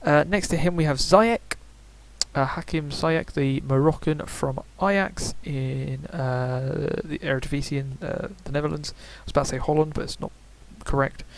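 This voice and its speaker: A man's voice: fairly high pitch, fairly even intonation, normal speed, gravelly. A young man giving casual, rambling video game predictions, full of fillers and self-corrections. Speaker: gaming YouTuber.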